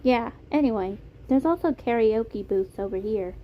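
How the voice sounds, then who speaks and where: Woman doing a chatty young boy, high and tinny. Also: lively intonation, moderate pace, natural voice. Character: young boy, friendly guide, curious and wary. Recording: tinny phone-like audio, clear noise.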